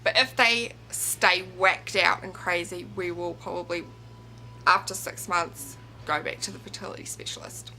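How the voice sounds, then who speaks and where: A woman in her twenties speaking reflectively and haltingly, confiding in a personal vlog monologue. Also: on the low side, creaky, sharp tone, faint music behind, rough recording. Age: 20s. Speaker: video blogger.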